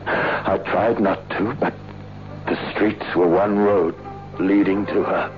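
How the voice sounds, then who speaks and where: An actor playing a haunted, frightened man losing his mind in a radio horror drama, with a halting, anguished delivery. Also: low voice, fairly even intonation. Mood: haunted, brooding. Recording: lo-fi old radio broadcast with music.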